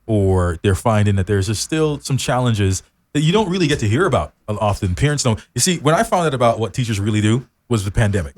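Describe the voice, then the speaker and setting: Low-voiced man hosting a casual interview. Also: animated, a little fast, dry recording. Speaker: talk show host. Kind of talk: casual radio interview chat. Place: studio.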